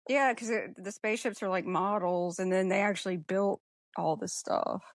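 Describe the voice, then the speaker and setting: A woman's voice: mid-range voice, steady tone, normal speed, dry recording. Blunt woman chatting casually, close dry sound. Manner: blunt, salty with mild swearing. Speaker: podcast co-host. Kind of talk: casual film discussion.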